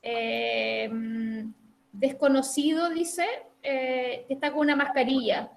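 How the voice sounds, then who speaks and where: A woman's voice: high-pitched, fairly even intonation, creaky, a little hiss or hum. A woman moderating participant introductions on a video call, courteous and thanking each speaker. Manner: slow, courteous. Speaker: workshop moderator.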